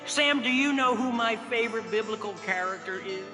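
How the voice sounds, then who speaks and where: Storybook crook, played by a male reader: rough grown man, whiny, aggrieved and folksy, pitched high, music underneath. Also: a little fast, natural voice. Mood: pleading. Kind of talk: storybook character voice. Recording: long reverb, music behind the voice.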